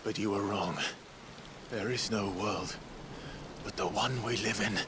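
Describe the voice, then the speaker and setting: An actor playing a grim, defiant young warrior, tragic and resolute, with terse, intense delivery in a fantasy game. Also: male voice, fairly low pitch, steady tone, unhurried, close to an everyday voice. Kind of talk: dramatic fantasy game acting. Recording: clearly noisy, rain-like background.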